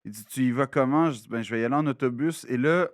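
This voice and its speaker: A man with a Québécois French accent, telling a story in a casual podcast chat, animated and full of restarts. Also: medium pitch, fast, dry recording, clean studio sound. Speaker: podcast co-host. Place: recording studio.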